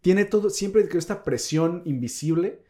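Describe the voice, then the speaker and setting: A man's voice: sits high, animated, measured pace, dark tone. A man with a Mexican accent hosting a casual podcast chat, rambling and slangy with lots of fillers. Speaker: podcast host.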